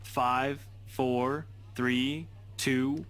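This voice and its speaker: An actor playing a proud but awkward young man in a visual novel game, gruff and sheepish. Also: medium pitch, fairly even intonation, extremely slow, a bit bright. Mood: teasing, casual.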